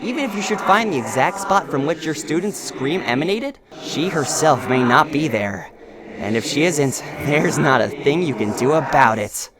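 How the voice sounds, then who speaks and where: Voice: mid-range voice, animated, normal speed, background noise, close to an everyday voice. A performer voicing a bitter, hostile spirit in a game dub, speaking in a formal, condescending, menacing way. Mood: cryptic, condescending. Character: bitter hostile ghost, taunting, distrustful of teachers. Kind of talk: dramatic fan game dub voice acting.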